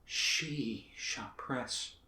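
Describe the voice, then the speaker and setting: Man acting a slow, tormented poet reciting theatrically, echoing. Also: medium pitch, bright, forceful tone, heavily acted. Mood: brooding. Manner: theatrical, declamatory, with long pauses. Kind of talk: dramatic poetry recitation. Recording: roomy, echoing space.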